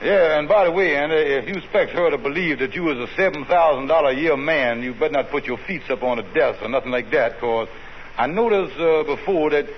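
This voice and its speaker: A man's voice: on the high side, brisk, natural voice. A man playing a wheedling schemer in old radio comedy. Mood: scheming. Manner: wheedling, folksy slangy grammar. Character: scheming, fast-talking male business manager. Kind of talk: 1940s radio comedy acting. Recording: muffled old radio broadcast with hiss.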